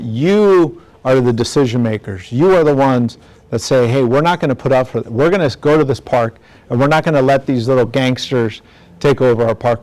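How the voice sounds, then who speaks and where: A middle-aged man from the San Diego area with a medium-pitched voice. He presents informally to parents in the plain, direct way of a law enforcement officer doing community outreach. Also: a little mellow. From National City, San Diego area, United States. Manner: plainspoken, direct, acts out imagined dialogue. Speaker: law enforcement community speaker on gang prevention.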